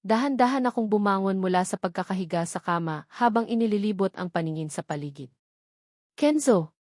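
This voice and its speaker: A woman's voice: mid-range voice, fast talker, a little mellow, no room sound, clean studio sound. A woman reading scripted first-person narration from a romance novel. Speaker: audiobook narrator.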